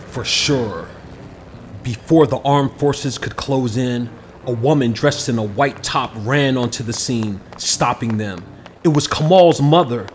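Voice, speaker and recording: A man with a medium-pitched voice narrates a children's picture book with expression. He reads as a storyteller, over a clearly audible bed of background noise.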